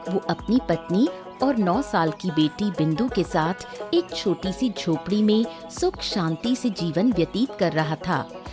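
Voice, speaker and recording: A woman's voice: fairly low pitch, animated, measured pace. A woman narrating a scripted moral story as a storyteller. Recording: music behind the voice.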